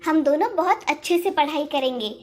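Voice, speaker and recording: A woman voicing a sweet, dutiful young village schoolgirl who speaks respectfully and pleadingly to her father in an animated moral story. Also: sits high, fairly quick, natural voice.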